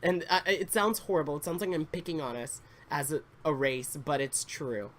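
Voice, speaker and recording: A man in his twenties chatting casually, a bit congested and slightly raspy, rambling with fillers and restarts. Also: on the high side, fairly even intonation, moderate pace, fairly bright tone, a little hiss or hum. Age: 20s. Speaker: Sims YouTuber. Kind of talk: casual story time chat.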